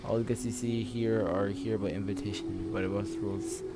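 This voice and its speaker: A man doing casual gameplay commentary, reading dialogue aloud and reacting in an informal, halting way. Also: fairly low pitch, little pitch movement, loud music behind the voice. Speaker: gameplay streamer.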